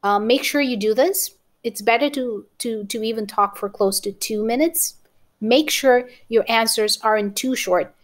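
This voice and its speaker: A woman's voice: fairly high pitch, unhurried. A woman with a Romanian accent teaching an exam-prep lesson to camera, encouraging and often checking in with "okay?". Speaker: English teacher.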